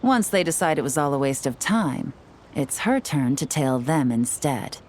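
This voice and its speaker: An actress playing a cool, confident intelligence operative in a video game, briefing allies with composed assurance. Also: female voice, deep voice, expressive, normal speed, a little mellow, slight background noise.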